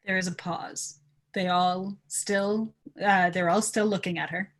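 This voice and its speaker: Casting: female narrator for an informal script read, medium pitch, reading out stage directions. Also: steady tone, a little slow. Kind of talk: scripted narration in a casual live table read.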